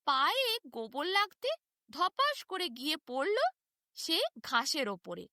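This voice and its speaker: A woman narrating a children's fairy tale in an animated storytelling style. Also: high voice, slow pace, no room sound, studio quality.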